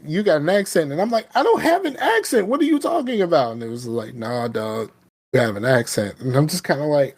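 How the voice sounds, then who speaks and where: A man's voice: on the high side, darkish tone. A man with a Southern US accent, a podcast co-host chatting casually with lots of fillers and restarts. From American South. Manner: rambling, many fillers and restarts. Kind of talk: casual chat.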